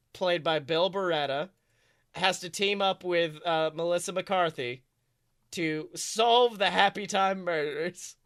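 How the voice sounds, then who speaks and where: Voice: mid-range voice, fairly bright tone. A podcast co-host chatting casually and comedically about movies, rambling, jokey and loose with swearing.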